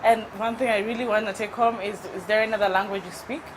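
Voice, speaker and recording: A woman with a Kenyan accent hosting a TV talk show, playful and lively, asking questions and teasing her guest. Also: mid-range voice, fast talker, rough recording. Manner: playful, lively, mixing in Swahili phrases. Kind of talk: casual interview chat.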